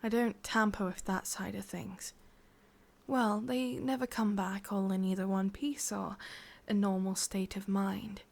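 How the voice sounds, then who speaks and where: Woman acting breathy, whispering English witch. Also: medium pitch, steady tone. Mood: solemn, warning. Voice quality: soft, breathy, mostly whispered. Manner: slow, halting, confiding. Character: sorrowful, obsessive female witch, tender yet menacing. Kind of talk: ASMR roleplay, intimate whispered monologue.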